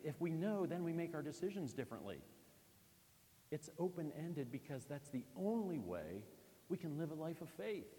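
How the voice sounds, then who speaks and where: A man from Pennsylvania preaching a conversational sermon in a church, with lively asides to the congregation. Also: medium pitch, big-room sound, a little hiss or hum. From Pennsylvania, USA. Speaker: pastor.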